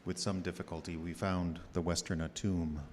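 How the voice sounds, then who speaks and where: An actor playing a somber, haunted, skeptical doctor, speaking deliberately in a gothic radio drama. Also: male voice, sits low, little pitch movement, close to an everyday voice. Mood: horrified, somber. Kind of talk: gothic radio drama acting and diary narration. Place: live theater stage. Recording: reverberant theater hall with noise.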